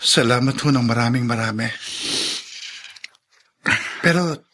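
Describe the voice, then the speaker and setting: A man's voice: mid-range voice, unhurried, a bit bright. A man voicing an earnest young engineer who speaks politely in an audio drama. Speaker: voice actor.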